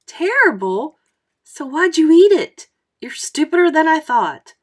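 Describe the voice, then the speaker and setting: A woman's voice: sits high, sing-song, unhurried, voice pushed up. A woman voicing a cocky, bossy fourth-grade boy in a storybook, scornful and emphatic. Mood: scornful, earnest. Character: cocky, pushy fourth-grade boy lecturing his friend.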